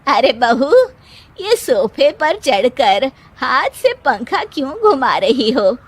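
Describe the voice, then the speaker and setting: Older mother-in-law, drawn-out gentle chiding, cartoon story, woman voicing. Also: high-pitched, big pitch swings, slightly rough, pitched above the performer's own voice, heavily acted. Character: kindly old mother-in-law.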